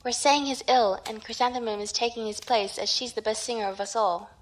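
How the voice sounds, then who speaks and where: A woman's voice: a little high, steady tone, fairly quick, natural voice, rough recording. A woman acting as an earnest, worried young daughter in an audio drama. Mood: worried confiding. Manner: earnest, sighing.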